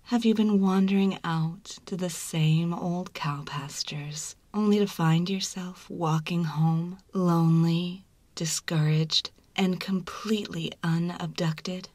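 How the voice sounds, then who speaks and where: A woman narrating a scripted ASMR advert in a soft, breathy, deliberate whisper. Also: on the low side, steady tone, no room sound. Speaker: promo narrator.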